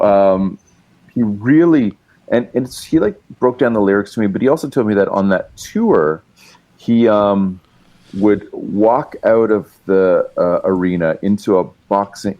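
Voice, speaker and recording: A man's voice: fairly low pitch, a little slow, warm tone. A male musician and writer, a guest telling long, rambling stories in casual chat, with many fillers and restarts. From Canada.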